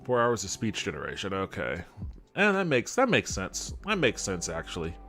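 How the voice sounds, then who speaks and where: A man with a fairly low voice talks off the cuff like a gaming streamer. He is sardonic and skeptical and swears casually. Music plays behind him. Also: huge pitch swings, moderate pace, a bit bright. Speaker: host of a gaming podcast and stream.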